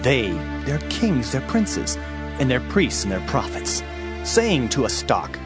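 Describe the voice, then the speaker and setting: Solemn, expressive male Bible narrator over music. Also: mid-range voice, takes it slowly. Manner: solemn, declamatory. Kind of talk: dramatized scripture reading. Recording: music bed behind narration.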